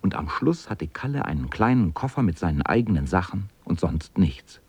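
A man narrating a children's radio play as a calm storyteller with a husky voice. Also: deep voice, normal speed, no room sound, full character voice. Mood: storytelling.

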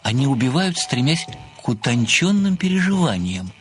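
An actor as an insistent amateur sleuth over music. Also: male voice, mid-range voice, sing-song, natural voice. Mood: probing. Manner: deliberate, rhetorical, deferential yet insistent. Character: obsessive, polite male admirer turned amateur detective. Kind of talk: audio play acting.

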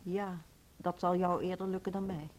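An actress playing a subdued, trusting music school colleague in a radio drama, soft-spoken. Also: female voice, on the low side, flat delivery, normal speed, lightly acted. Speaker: actor in a radio play. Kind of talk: 1970s Dutch radio drama acting.

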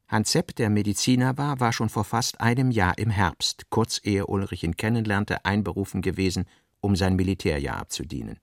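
A man's voice: fairly low pitch, normal speed, no echo, studio quality. A man reading scripted literary narration for a radio play.

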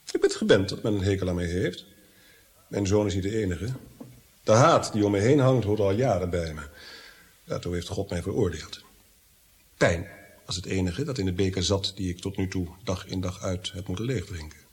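A husky, tormented, theatrical prince. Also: male voice, deep voice, normal speed, long pauses. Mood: bitter, melancholy. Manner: theatrical, swinging between hushed awe and agitated outbursts. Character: brooding, tormented nobleman prince, haunted by guilt and visions. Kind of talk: Dutch radio drama acting.

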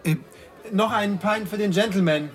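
Actor as courteous young gentleman solicitor, probing. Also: high voice, moderate pace, soft background music, close to an everyday voice. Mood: polite, probing. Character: earnest, polite young English gentleman solicitor, methodical. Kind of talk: German radio drama acting.

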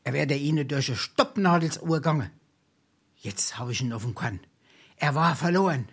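A man's voice: on the high side, normal speed, fairly bright tone, husky. A male actor with a Darmstadt Hessian accent playing a wily, boastful penniless scrounger in a dialect stage comedy, theatrical and full of dramatic hesitation. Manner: theatrical, braggartly, hesitating for effect.

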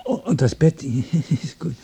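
Husky, muddled lonely man. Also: fairly high pitch, warm tone, heavily acted. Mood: rambling, distracted. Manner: halting, repetitive, nervously rambling. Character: lonely, muddled, abandoned man, rambling and touchy. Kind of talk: German radio play acting.

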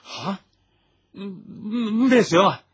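Evasive, sighing Cantonese husband. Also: male voice, sits high, lively intonation, takes it slowly, slightly rough, exaggerated voice. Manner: sighing, wheedling, dismissive. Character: evasive, tipsy, drowsy husband coaxing his wife. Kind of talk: Cantonese radio drama acting.

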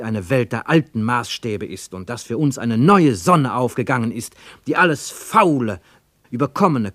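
A man's voice: mid-range voice, no echo, close to an everyday voice. A male narrator puts on a fervent, zealous German youth in a radio drama. The delivery is exalted and declamatory, raised above plain narration, in a dry studio. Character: zealous German boy reciting Nazi-era pledges with exalted faith. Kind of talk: radio play narrator voicing quoted speech.

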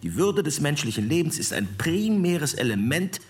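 A man's voice: a little high, very animated, normal speed. An actor playing a resolute, eloquent postwar male parliamentarian in a radio play, declaring things formally and emphatically.

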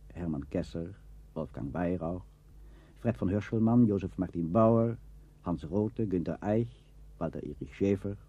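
A man's voice: deep voice, dark tone, husky. A man presenting a scripted documentary in a formal, literary manner. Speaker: presenter, narrator. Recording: dull vintage radio recording.

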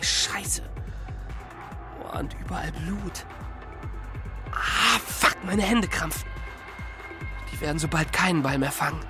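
A young man giving a dramatized first-person audiobook narration in an agitated manner, slipping between muttering and outbursts. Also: fairly high pitch. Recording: music behind the voice. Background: music.

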